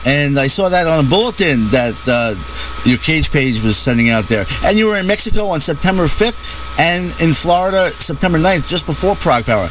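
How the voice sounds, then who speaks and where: A man's voice: on the high side, low-quality recording. A man hosting a radio show, interviewing a singer with fan-like enthusiasm. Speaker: radio host. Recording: clear background noise.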